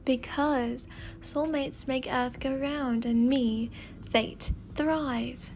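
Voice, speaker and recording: A woman's voice: high voice, steady tone, drawn-out words, darkish tone, slight background noise, exaggerated voice. A woman voicing a playful, pleading spirit in a mirror, with a British accent and a theatrical, whiny manner, in a story reading.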